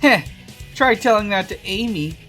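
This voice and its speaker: A cocky cartoon hedgehog hero, acted out by a woman in a fan fiction reading. He teases his friends, and his pitch jumps around in a very animated way. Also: moderate pace, faint music behind, close to an everyday voice. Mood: teasing, exclaiming. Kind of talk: fan fiction read-aloud.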